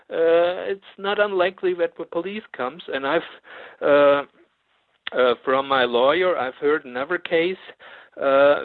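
A man, a guest author on a phone interview, speaking haltingly with many fillers and restarts. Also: fairly high pitch, no room sound. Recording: dry, tinny phone line.

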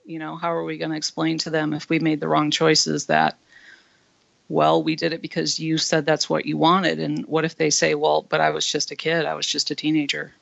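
A man with a husky voice, a comedian speaking frankly as an interview guest, with many fillers. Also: a little high, completely flat delivery, normal speed, fairly bright tone, no room sound. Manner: earnest, frank, with frequent fillers. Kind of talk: candid interview talk. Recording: dull lo-fi dry line.

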